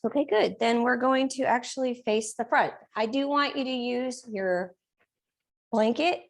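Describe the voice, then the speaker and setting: A woman leads a yoga class with a fairly high voice, giving calm step-by-step cues. She has been losing her voice and clears her throat now and then. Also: measured pace. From Reno area, United States. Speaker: yoga teacher. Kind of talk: guided yoga class instruction.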